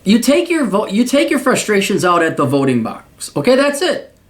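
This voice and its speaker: A man with a high-pitched voice rants his advice straight at the listener. He is blunt and crude, swears freely, and mocks the person he addresses while lecturing them on how the world works. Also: huge pitch swings, moderate pace. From United States. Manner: profane, mocking, lecturing. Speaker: podcast host giving paid advice.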